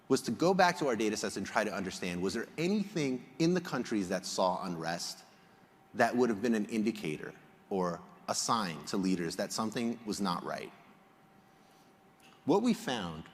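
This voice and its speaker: Low-voiced man presenting in a reverberant hall. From Egypt. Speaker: conference speaker, polling researcher. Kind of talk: prepared public presentation to an audience. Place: large indoor hall, on stage.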